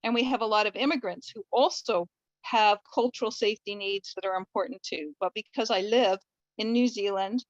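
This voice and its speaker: A woman's voice: mid-range voice, dry recording, highs cut off. A Canadian woman in her fifties, a family doctor, chatting in a friendly, rambling way on a video call. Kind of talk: participant comment in online session.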